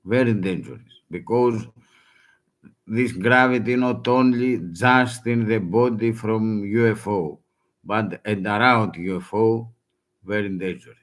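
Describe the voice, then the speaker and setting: A man whose first language is Greek, speaking broken English in a halting, urgent warning with many restarts. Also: fairly low pitch. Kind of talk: halting self-recorded warning.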